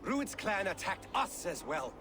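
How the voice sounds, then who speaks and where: A man's voice: high voice, sing-song, a little slow, very noisy. An actor playing an aggrieved, scoffing male settler in a video game crowd scene. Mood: indignant. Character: aggrieved, scoffing settler defending his home.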